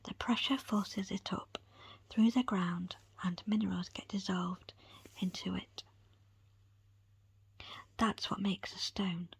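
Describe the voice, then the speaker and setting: A woman voicing a patient, placating foster mother in a whispered audiobook, gentle and hesitant. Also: medium pitch, breathy edge, no room sound. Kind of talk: whispered audiobook character acting.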